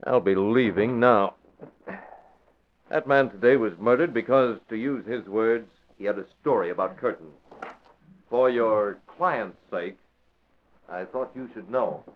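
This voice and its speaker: A man plays a composed newspaper publisher who questions with quiet, pointed precision. The voice sits low and measured in classic 1940s radio-drama delivery. The old recording is thin and tinny, like a telephone line. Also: slight background noise, natural voice. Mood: probing. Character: cool, probing male newspaper publisher.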